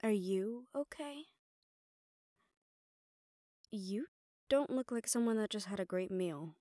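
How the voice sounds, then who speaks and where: A woman's voice: medium pitch, expressive, slow pace, dark tone, no room sound. Female actor, mid-range, as a mafia boss's loyal, protective assistant; audio roleplay, firm and reassuring, dry close mic. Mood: concerned, wry. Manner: firm, reassuring, addressing the listener directly. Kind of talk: intimate audio roleplay acting.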